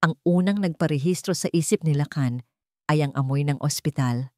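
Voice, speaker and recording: A woman's voice: low voice, moderate pace, darkish tone, no room sound, studio quality. A woman narrating a scripted story in a dramatic, emotive and solemn storytelling manner.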